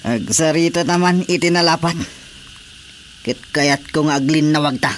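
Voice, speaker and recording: An actor with an Ilocano accent playing an angry, jealous husband, confrontational, in a radio drama. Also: male voice, on the high side, measured pace, a little hiss or hum, lightly acted. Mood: indignant. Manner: forceful, confrontational.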